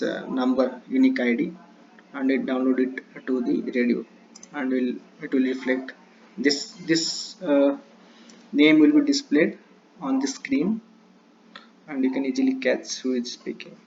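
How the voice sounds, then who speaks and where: A man presenting a step-by-step tutorial in halting, short broken phrases on a lo-fi recording. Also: mid-range voice, a little mellow. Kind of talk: screen-share tutorial, explaining step by step. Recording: dull lo-fi mic with faint noise.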